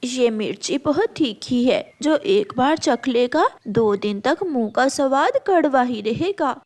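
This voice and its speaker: A woman voicing an elderly grandmother bird in a children's cartoon, protective, reassuring and quietly scheming. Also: high-pitched, lively intonation, takes it slowly.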